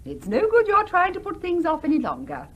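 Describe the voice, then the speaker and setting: A patronising English aunt in a radio drama, insistent and full of sugary sympathy. Her voice is very high and swoops dramatically as she bosses. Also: huge pitch swings, a little fast, heavily acted. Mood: bossy. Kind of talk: radio play acting.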